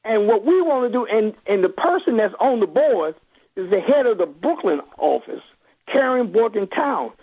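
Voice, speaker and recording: A man with a rough-edged voice and widely swinging pitch speaks as a guest on a radio call-in. He is emphatic and repeats his phrases for force. The sound is narrow and phone-like. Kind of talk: impassioned political interview talk. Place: on a phone line to a radio show.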